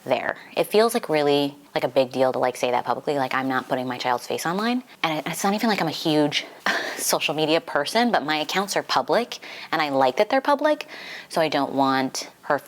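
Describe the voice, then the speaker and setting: A young woman with a low voice talks casually to her viewers as a book vlogger. She sounds a little stuffed up from a recent flu, and her delivery is informal and giggly, peppered with "like". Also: very animated, brisk. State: recovering from flu, slightly congested. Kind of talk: casual chatty life-update vlog.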